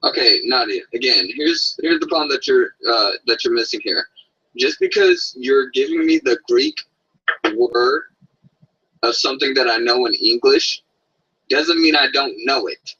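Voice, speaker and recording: A man's voice: mid-range voice, very animated, unhurried, sharp tone, breathy edge, far from the mic. Curt defensive man on lo-fi audio. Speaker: debater in online hangout. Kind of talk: heated online debate. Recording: dull lo-fi stream audio.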